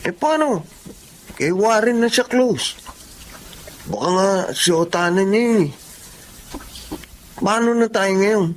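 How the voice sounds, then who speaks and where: A man's voice: high voice, lively intonation, slow, long pauses. An actor playing a sulky young man in a Filipino radio drama. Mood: sulky, worried. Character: Jake, sulky young classmate, later husband.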